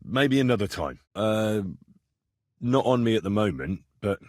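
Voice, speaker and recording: Young male medieval adventurer: husky, dry close sound, earnest and blunt, streetwise, colloquial game dialogue. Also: lowish voice, animated, measured pace, no room sound. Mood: casual, direct. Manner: colloquial British phrasing, plain-spoken. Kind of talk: video game dialogue voice acting.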